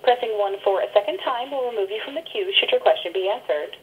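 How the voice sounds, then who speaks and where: A woman's voice: mid-range voice, lively intonation, fast talker, a little hiss or hum. Formal female operator on tinny phone line. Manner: formal, polite. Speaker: conference call operator. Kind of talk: scripted call instructions.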